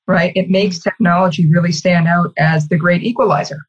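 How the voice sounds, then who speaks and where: Husky-voiced woman on a muffled call. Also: lowish voice, fairly even intonation, a little fast. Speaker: communications executive, interview guest. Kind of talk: conversational interview answers. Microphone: video call.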